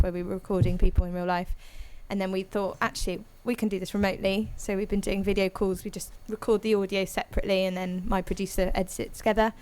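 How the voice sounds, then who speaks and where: A woman's voice: mid-range voice, a little mellow, clean tone, poor audio. A singer-songwriter, a woman, chatting casually and rambling with many ums as a guest on a live audio room call. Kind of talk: casual chat after a live set.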